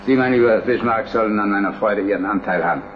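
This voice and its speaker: A man's voice: lowish voice, quick pace, natural voice. An actor playing a solemn, modest old Prussian king in a German historical radio drama. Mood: solemn, pleased. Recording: dull radio sound, music behind.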